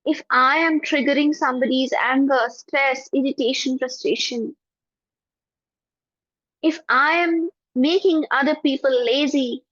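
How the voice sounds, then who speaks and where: A woman leading a guided forgiveness meditation in a soft, prayerful voice with slow repetitions. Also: high voice, no echo. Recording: dull lo-fi, dry.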